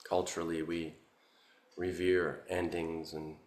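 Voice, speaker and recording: A man guiding a meditation as a Buddhist teacher, contemplative and halting, with long pauses between short phrases. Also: low-pitched, level tone. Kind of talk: guided meditation, Buddhist dharma talk. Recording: roomy, echoing sound.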